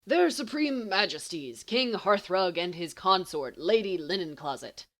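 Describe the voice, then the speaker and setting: Audiobook role: flippant teenage boy played by a woman, easily wounded, mock-grand, swooping expressive delivery. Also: lowish voice, unhurried. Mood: playful, injured. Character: brash, wounded, teasing teenage schoolboy.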